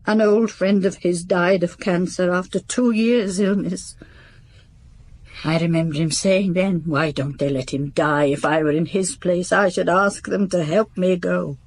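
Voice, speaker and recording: A reserved confidante in radio drama: husky, gravelly edge, mid pitch, sombre and restrained. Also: female voice, a little slow, a little mellow. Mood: subdued, sombre. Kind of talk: British radio drama acting.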